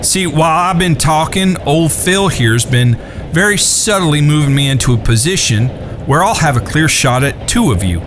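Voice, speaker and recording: A man as a calm, courteous drawling gunslinger. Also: on the high side, moderate pace, background noise, natural voice. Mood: composed menace. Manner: folksy, polite, long-winded explaining. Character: calm, courteous, quietly menacing Western gunslinger brother. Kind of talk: Western audio drama acting. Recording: music bed behind the voice.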